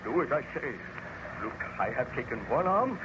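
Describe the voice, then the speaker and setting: A man's voice: medium pitch, sing-song, normal speed, close to an everyday voice. A man acting an obsessed, commanding scientist in old-time radio melodrama, with a grandiose and formal theatrical delivery. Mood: commanding, urgent. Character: obsessed, triumphant male scientist, commanding master. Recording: muffled, noisy vintage radio recording.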